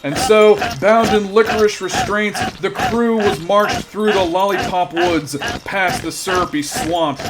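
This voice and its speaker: A man's voice: sits high, very noisy, natural voice. A man performing as a dramatic storyteller, giving scripted narration for a sci-fi comedy audio drama.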